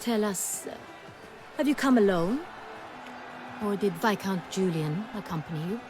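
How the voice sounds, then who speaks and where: A woman's voice: mid-range voice, lively intonation, moderate pace, music in the background, natural voice. Haughty, regal young duchess, acted by a woman. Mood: brisk, commanding. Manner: haughty, formal, speaks in the royal we. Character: proud, imperious young duchess, regal and theatrical. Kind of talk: video game cutscene acting.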